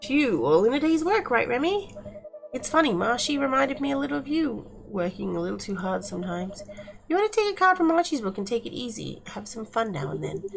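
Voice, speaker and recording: A woman's voice: fairly high pitch, very animated, fairly quick, creaky, rough recording. A woman voicing a bubbly, teasing young cafe apprentice in a visual novel. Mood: playful. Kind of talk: visual novel character reading. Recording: music playing behind the voice.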